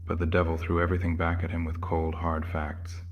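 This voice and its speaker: Very deep, even male narrator. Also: fairly quick, lo-fi sound. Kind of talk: scripted narration of horror audio drama.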